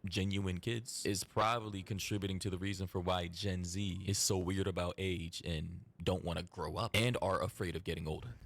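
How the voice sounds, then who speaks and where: A man's voice: sits low, fairly even intonation, normal speed, hardly any pauses, a bit bright, no room sound. A man in his twenties giving opinionated, casual commentary in a blunt, emphatic way. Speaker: online commentator.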